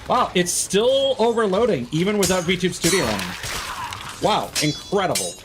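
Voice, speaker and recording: A man live streaming a game, thinking aloud in casual, halting, muttering talk with some swearing. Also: sits high, very animated, noisy background.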